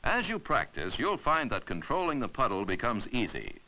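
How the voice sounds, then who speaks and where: A man giving scripted instructional narration for a 1950s educational film, patient and clear as he walks through each step. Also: medium pitch, huge pitch swings, moderate pace, sharp tone, slight background noise, low-quality recording.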